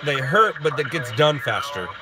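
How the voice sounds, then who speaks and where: A man's voice: medium pitch, animated, normal speed, very noisy. A middle-aged man hosting a live stream from his car, stuffed up and sniffling, chatting loosely as he answers viewer questions. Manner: rambling, answers chat questions out loud. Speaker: live stream host reading viewer chat. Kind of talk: casual chat. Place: inside a car while driving.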